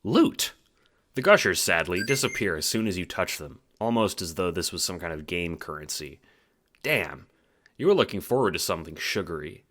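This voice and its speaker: A man reading second-person audio drama narration in an animated storytelling manner, with casual swearing. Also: deep voice, fairly quick.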